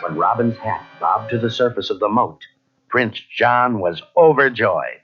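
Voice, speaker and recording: A man narrating a children's read-along story in a dramatic, expressive, scripted style. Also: lowish voice, a little slow, husky. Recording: muffled old cassette, music behind.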